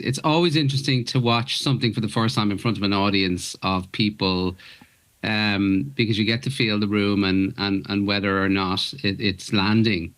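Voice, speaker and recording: A man's voice: lowish voice, fairly bright tone. An Irish man whose first language is Irish, an actor guest in a radio interview, talking earnestly with many stops and restarts. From Ireland.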